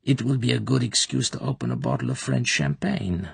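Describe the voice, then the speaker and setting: A man voicing a cold, domineering older female secret police colonel who talks in a smooth, commanding, falsely sweet way. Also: medium pitch, expressive, measured pace, fairly bright tone, no echo, voice pushed up, full character voice.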